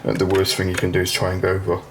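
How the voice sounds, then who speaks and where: A man with a low-pitched voice walks through a practical demonstration in an informal, step-by-step way. He explains each part plainly, as the host of a how-to video. A noticeable layer of noise sits behind his speech. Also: completely flat delivery, rapid-fire. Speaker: video host demonstrating a retro computer repair. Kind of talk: informal hands-on tutorial narration.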